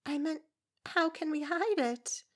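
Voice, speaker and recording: A woman voicing a gentle, kind young woman who speaks softly and quietly. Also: sits high, unhurried, pitched above the performer's own voice. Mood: soft, concerned. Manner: soft, quiet voice.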